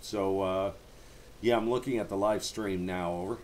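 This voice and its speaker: Male radio hobbyist, fairly low pitch, slangy casual chat, faint noise behind. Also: fairly even intonation, moderate pace, darkish tone. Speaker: amateur radio operator and live streamer.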